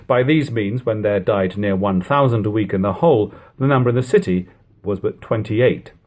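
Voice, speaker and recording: A man reading an archaic historical book aloud as an amateur audiobook, in measured, formal phrasing. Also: medium pitch, a little mellow. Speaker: amateur audiobook reader and host.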